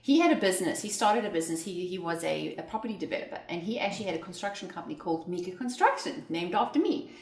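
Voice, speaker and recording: Fast-talking South African woman. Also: lowish voice, big pitch swings, echoey room. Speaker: interview guest, entrepreneur. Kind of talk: conversational interview. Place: indoors at a home.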